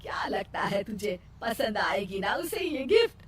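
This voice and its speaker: A woman's voice: medium pitch, big pitch swings, unhurried. A woman voicing an exasperated, bossy but homely older mother-in-law in a cartoon story, given to scolding exclamations. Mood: confiding. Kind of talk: cartoon moral story acting. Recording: roomy, distant mic, faint noise.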